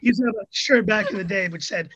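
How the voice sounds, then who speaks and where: A man's voice: high-pitched, quick pace, a bit bright, lo-fi sound, a little hiss or hum. A man in his thirties with an American accent, chatting as a podcast guest in a rambling, jokey way. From Hong Kong. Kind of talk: casual interview chat.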